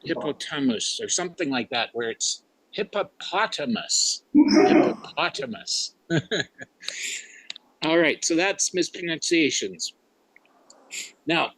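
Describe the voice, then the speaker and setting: Man, Canadian-raised, club grammarian; unhurried, musing, filler-heavy delivery, slightly high voice. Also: animated, a bit bright, a little hiss or hum, low-quality recording. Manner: slow and musing, with frequent fillers. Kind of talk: casual meeting report.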